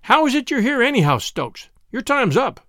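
A man's voice: very high voice, big pitch swings, sharp tone, no room sound, studio quality, voice pushed up, exaggerated voice. A man voicing a stern army captain giving orders to his soldiers. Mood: commanding. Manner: brisk, commanding. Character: commanding male army captain, brisk and stern.

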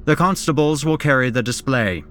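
A man's voice: medium pitch, expressive, normal speed, a bit bright, soft background music, voice pushed up, lightly acted. A man voicing a pompous male town mayor in an audiobook, declaiming a formal, officious public proclamation. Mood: officious, declamatory.

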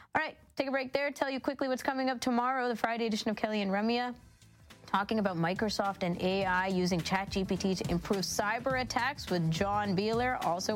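A woman co-hosting a radio show, explaining things in a casual, conversational way. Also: medium pitch. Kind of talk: casual explainer of a lifestyle article.

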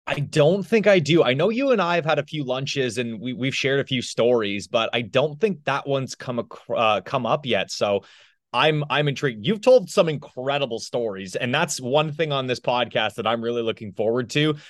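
A man co-hosts a sports podcast in an easy conversational way. His voice sits at an average pitch. The recording is dry, with hardly any room sound. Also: expressive, moderate pace, dry recording. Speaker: podcast co-host. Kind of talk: casual chat.

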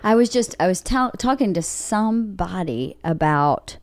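A middle-aged woman hosting a podcast in casual chat, with a big loud voice and frequent laughs. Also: lowish voice, a little slow, dry recording. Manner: loud, animated, laughs often. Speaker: podcast host. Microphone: studio podcast mic.

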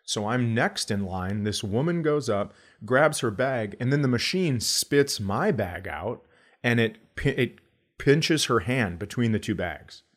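A man's voice: medium pitch, animated, unhurried. A middle-aged American man hosting a comedy podcast, telling casual, profane stories and acting out the dialogue. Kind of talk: casual comic storytelling.

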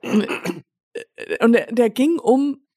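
A woman's voice: fairly high pitch, sing-song, drawn-out words, mellow, husky, dry recording, studio quality. A young woman from Germany co-hosting a podcast, chatting loosely and rambling, with English phrases mixed in. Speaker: podcast co-host. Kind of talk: casual chat.

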